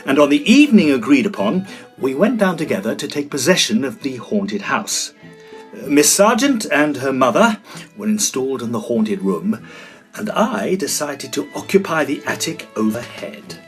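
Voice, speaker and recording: A man's voice: mid-range voice, moderate pace, soft background music, lightly acted. A man playing a genteel Edwardian ghost hunter in an audio drama, telling his tale formally and expressively. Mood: genial, composed. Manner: formal, expressive, old-fashioned phrasing. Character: genteel Edwardian gentleman ghost hunter, sociable, easily shaken. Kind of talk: scripted first-person storytelling, period audio drama.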